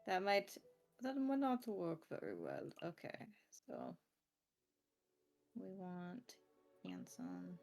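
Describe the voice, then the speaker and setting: A woman's voice: on the low side, wildly swooping pitch, slow pace, gravelly, pauses often, darkish tone, soft background music. Woman chatting tentatively over a game. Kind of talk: casual gaming chat.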